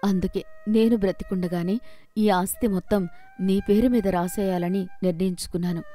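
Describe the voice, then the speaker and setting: A woman's voice: medium pitch, fairly even intonation, moderate pace, warm tone, faint music behind, close to an everyday voice. A woman voicing a kindly but firm widowed landowner and adoptive mother in a folk tale. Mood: fond, resolute. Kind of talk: acted folk tale dialogue.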